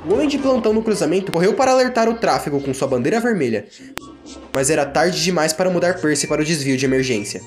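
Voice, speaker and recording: A man's voice: a little high, lively intonation, fast talker, faint music behind. A man with a Brazilian accent narrating a scripted children's story.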